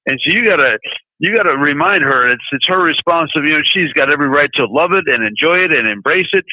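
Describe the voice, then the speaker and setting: A man in his forties phones in to a live show. His voice is bright and sits slightly high, and it comes through a dull, dry phone line. He talks in a blunt, joking way. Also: expressive, fast, dry recording. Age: 40s. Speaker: caller. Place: calling in from abroad.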